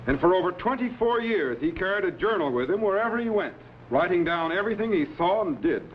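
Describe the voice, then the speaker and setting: A man's voice: high-pitched, lively intonation, a little fast. An American man playing an authoritative scientist captain in a vintage sci-fi serial, explaining things in an assured, patient mentor's manner. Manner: didactic, assured. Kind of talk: 1950s sci-fi serial acting. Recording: dull, noisy vintage broadcast soundtrack.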